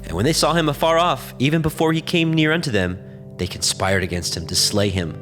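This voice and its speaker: A man narrating scripture as a scripted reading, in a measured, solemn storytelling manner. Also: medium pitch, expressive, fairly bright tone, faint music behind.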